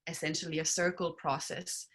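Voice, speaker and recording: A woman raised in Finland, speaking as a panelist in a thoughtful, earnest and measured way. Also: sits low, little pitch movement, fairly bright tone. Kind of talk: reflective panel talk.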